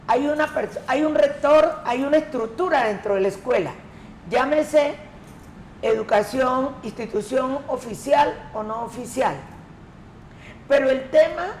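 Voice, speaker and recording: A woman from the Colombian Pacific coast, an education coordinator answering interview questions in a measured, emphatic way. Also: on the high side, slight background noise. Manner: measured, emphatic, repeats key words. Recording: echoey room, faint ambience.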